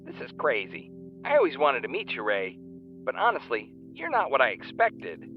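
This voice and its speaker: A man's voice: medium pitch, huge pitch swings, moderate pace, soft background music. A man playing a nervy, indignant superfan and fan fiction writer on a phone call in an audio drama. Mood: uneasy, dismayed. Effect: phone line filter. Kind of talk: naturalistic audio drama dialogue. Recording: tinny narrow phone line.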